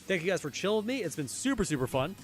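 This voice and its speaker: A young man streaming a game, chatting casually with his viewers, his voice a little hoarse. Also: high voice, very animated, very fast, faint music behind. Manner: chatty, answers chat, repeats phrases. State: slightly hoarse. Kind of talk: casual live-stream chat while gaming.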